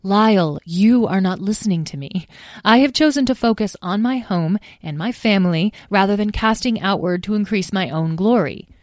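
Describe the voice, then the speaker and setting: A woman plays a patient mother dragon from a storybook, calmly explaining things to her children. Her voice stays close to the reader's own, with a dry, close sound. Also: medium pitch, normal speed, no echo, natural voice. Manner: calm, explaining, gentle scolding.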